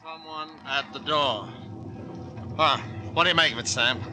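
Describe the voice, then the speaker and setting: Earnest, uneasy rental agent, sharp bright tone, a bit high. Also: male voice, a little slow. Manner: earnest, a little nervous, laughs it off. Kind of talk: 1940s radio acting.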